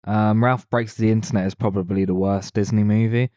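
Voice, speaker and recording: A man's voice: on the low side, fairly even intonation, a little fast, mellow, dry recording, studio quality. A man co-hosting a film podcast, talking casually as he reviews movies.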